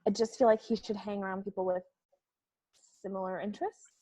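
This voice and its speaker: An earnest, well-meaning young woman, played by an actress in a comedy script read. Her voice is average in pitch. She presses her points bluntly, with an insistent, questioning delivery. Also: fairly even intonation, a little fast, very noisy, natural voice. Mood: earnest, probing. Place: recorded remotely at home on a video call.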